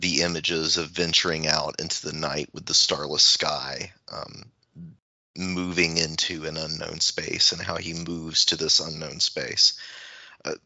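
A man in a reflective literary discussion, halting and searching as he thinks aloud and circles back over phrases. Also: a bass voice, little pitch movement, sharp tone, no echo, highs cut off. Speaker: podcast panelist.

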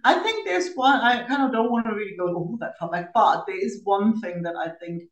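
A woman's voice: mid-range voice, lively intonation, fairly quick. A middle-aged woman from East Germany, telling her own story conversationally as a podcast guest, with informal asides. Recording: roomy, echoey sound.

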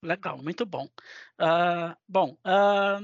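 A woman's voice: lowish voice, slow, no room sound. A woman with a Brazilian Portuguese accent casually moderating as a podcast host. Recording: dull lo-fi, dry close sound.

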